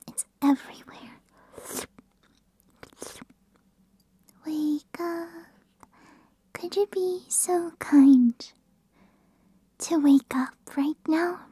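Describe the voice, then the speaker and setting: A woman voicing a playful, affectionate, bratty puppy girl in an ASMR roleplay, cutesy and breathy, close to the microphone. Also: sits high, extremely slow, long pauses, dark tone, dry recording. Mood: whiny, playful.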